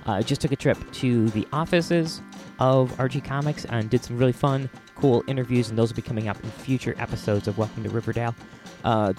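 A man with an American accent hosting a podcast in a casual, rambling, reflective way. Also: medium pitch. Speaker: podcast host.

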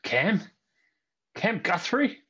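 A man's voice: sits high, big pitch swings, drawn-out words, pushed and bright, husky, lo-fi sound. A man co-hosting a sports podcast, giving short, dry replies and reading his lines. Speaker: podcast co-host. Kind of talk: podcast chat and table read.

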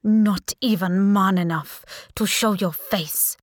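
A woman's voice: medium pitch, takes it slowly, dry recording, clean studio sound. A woman voicing a captive male sultan, proud and devout, speaking with indignant, solemn defiance. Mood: angry.

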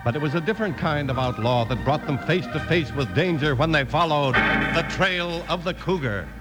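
An American man reads as a radio announcer in 1950s style. His voice is fairly high, and loud music plays behind him. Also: lively intonation, normal speed, rough recording.

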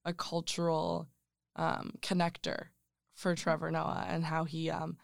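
An interviewer leading a casual book discussion, thoughtful and hesitant with many pauses. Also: medium pitch, completely flat delivery, gravelly, no echo, studio quality. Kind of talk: casual conversation.

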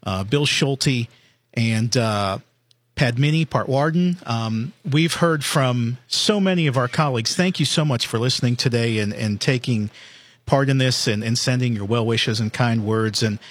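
A man's voice: mid-range voice, measured pace. A man hosting a live college radio show in an upbeat, chatty way with frequent fillers. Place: radio studio.

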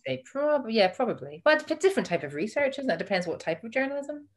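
A woman with a deep voice, a podcast guest chatting casually in an easygoing, slightly self-conscious way. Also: big pitch swings, quick pace. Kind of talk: casual chat.

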